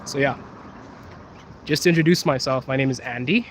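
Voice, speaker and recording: A young man from Zimbabwe hosting a relaxed live Q&A while walking outdoors. He has his sinuses bothered by pollen, laughs often and drags out some words. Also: medium pitch, moderate pace, a little hiss or hum. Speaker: host. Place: outdoors on a city street.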